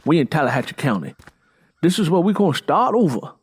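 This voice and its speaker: A man's voice: fairly high pitch, sing-song, a little fast, breathy edge, voice pushed up, full character voice. A man voicing a boastful, domineering Southern man in an audiobook, scolding and self-important with a Southern US accent. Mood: assertive.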